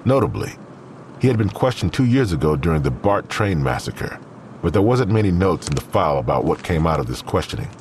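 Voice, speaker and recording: A man's voice: low voice, big pitch swings, fairly quick, a little mellow, a little hiss or hum. A man narrating a scripted crime audio drama as its storyteller.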